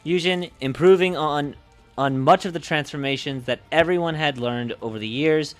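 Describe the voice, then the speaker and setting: A man livestreaming as a game master, reading out story summaries and chatting, often repeating and correcting his words. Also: a little high. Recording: music playing behind the voice. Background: background music.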